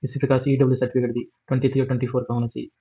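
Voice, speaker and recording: A man's voice: medium pitch, monotone, very fast, husky. A man with an Indian accent walking through a job notification on screen, explaining steadily with fillers and English words mixed in. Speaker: exam guide presenter. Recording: dull lo-fi voice recording.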